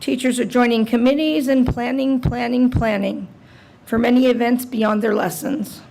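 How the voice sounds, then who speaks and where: A woman who is president of a teachers' union, hoarse and raspy from laryngitis, reading a prepared statement at a school board meeting. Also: a little high, steady tone, normal speed, mellow, a little hiss or hum, poor audio.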